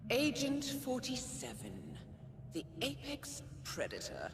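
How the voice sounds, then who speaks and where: Menacing game woman, taunting, drawn-out expressive delivery, echoey, under loud rumbling noise. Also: heavily acted. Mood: cold mockery. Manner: deliberate, mocking, drawn out. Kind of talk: dramatic video game monologue.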